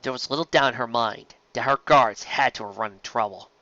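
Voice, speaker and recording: Bright, lively fiction narrator, dry sound. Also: medium pitch, normal speed, no echo, muffled recording. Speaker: fan fiction audiobook narrator. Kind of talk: expressive scripted storybook narration.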